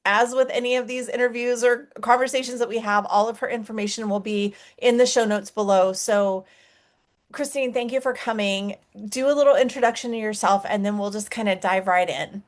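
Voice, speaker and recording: Enthusiastic woman host, higher voice. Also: brisk. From United States. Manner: enthusiastic, gushing. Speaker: spiritual podcast host. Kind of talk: conversational interview.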